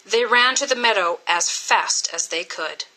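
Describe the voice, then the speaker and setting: Lady reading a kids story, bright voice, slow and dramatic, really animated. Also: mid-range voice, rough recording. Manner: dramatic, deliberate phrasing. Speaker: storybook reader. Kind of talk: expressive scripted storytelling for children.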